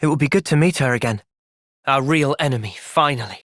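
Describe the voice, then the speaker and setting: A man's voice: mid-range voice, fairly quick, fairly bright tone, dry recording, studio quality, close to an everyday voice. A man playing an earnest, thoughtful young soldier hero with a British accent in a video game. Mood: gentle, reflective. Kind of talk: video game cutscene voice acting.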